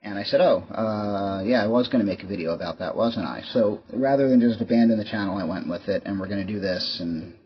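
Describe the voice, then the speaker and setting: A man's voice: fairly low pitch, fairly quick, hardly any pauses. A man hosting a casual show-and-tell vlog, talking in a rambling, wry, resigned way. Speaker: YouTube host. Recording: muffled lo-fi mic with clear background noise.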